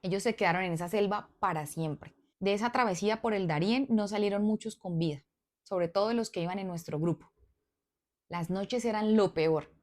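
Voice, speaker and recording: A woman storyteller reading a follower's submitted story aloud, expressive and breaking off for chatty asides to her followers. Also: medium pitch, moderate pace.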